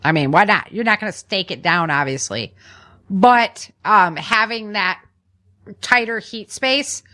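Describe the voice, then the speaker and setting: A woman with a bright, forward voice talks as a podcast co-host. She explains practical tips in an informal, chatty way, like casual conversation between friends. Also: medium pitch, a little slow.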